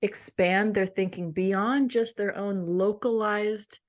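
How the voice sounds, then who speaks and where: A woman's voice: mid-range voice, fairly even intonation, slow. A woman speaking as an interview guest, answering in an earnest, reflective, conversational way. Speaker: guest, author and cultural intelligence coach.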